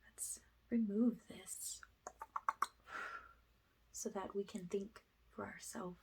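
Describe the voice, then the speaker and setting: Hushed, even-toned woman whispering ASMR. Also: medium pitch, slow, gravelly, a little hiss or hum, low-quality recording. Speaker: ASMR energy healer. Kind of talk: ASMR spiritual guided cleansing.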